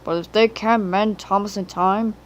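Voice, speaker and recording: A woman's voice: medium pitch, fairly even intonation, moderate pace, a little hiss or hum, close to an everyday voice. A woman playing a stern, pompous male railway controller in a storybook reading, commanding and emphatic.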